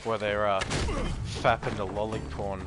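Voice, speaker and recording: A man's voice: on the low side, little pitch movement, normal speed. A man giving casual gameplay commentary, ranting in an opinionated, profane way. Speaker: gaming commentator. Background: loud game music.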